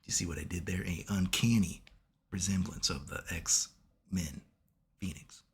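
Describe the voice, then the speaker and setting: A man, hoarse from being sick, giving casual analytical commentary as a video host and checking in with "right?" at nearly every turn. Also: low voice, a little slow. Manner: keeps asking "right?" as he lectures, swears casually.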